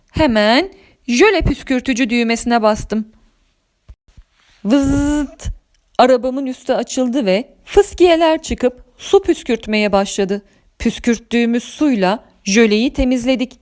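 A woman narrates a children's story in a fairly high voice. Her reading is scripted and expressive, in the style of a storyteller.

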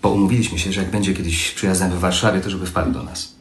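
An actor playing an evasive young conductor husband. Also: male voice, low voice, fairly even intonation, quick pace, lightly acted. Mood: dismissive. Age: young adult. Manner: evasive, smooth excuses. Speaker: sleeping-car train conductor. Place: room at home, recorded on phone. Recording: roomy home room, faint hiss.

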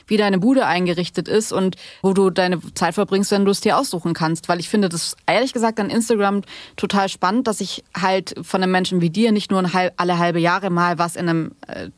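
Female podcast interviewer, quick and chatty, mid-pitched, close dry sound. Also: steady tone, dry recording. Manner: talkative, long run-on questions.

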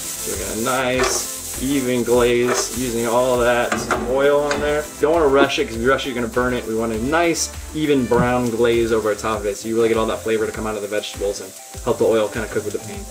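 A man in his thirties hosting a home cooking demo, giving earnest step-by-step instructions with deadpan jokes, recorded on a lapel mic in a home kitchen. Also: mid-range voice, quick pace. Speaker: cooking show host. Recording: music playing underneath.

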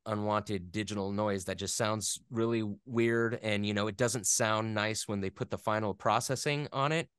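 A man in a casual interview, explaining things in a halting, conversational way as a guest expert. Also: lowish voice, fairly even intonation, a bit bright, clean tone, no echo, studio quality. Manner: halting, filler-heavy explaining with playful sound imitations. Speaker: interview guest, game audio editor and voice actor.

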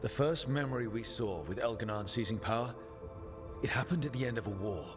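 An actor playing a steady, empathetic leader in a fantasy game, speaking in a measured, gentle way. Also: male voice, medium pitch, music in the background, natural voice. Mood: thoughtful. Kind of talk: dramatic game cutscene dialogue.

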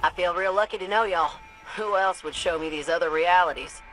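A woman's voice: fairly low pitch, a little fast, music in the background, lightly acted, rough recording. A woman playing a down-to-earth, wry pilot in a video game, talking in a folksy way. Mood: casual, wry. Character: plucky, down-to-earth female pilot, wry and casual.

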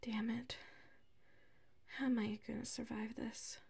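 A woman playing a flustered, defensive female classmate with a secret crush in an ASMR audio roleplay, huffy and halting. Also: fairly high pitch, flat delivery, creaky, darkish tone, breathy edge, full character voice. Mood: flustered muttering. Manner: halting, huffy, defensive, slips into muttered whispers.

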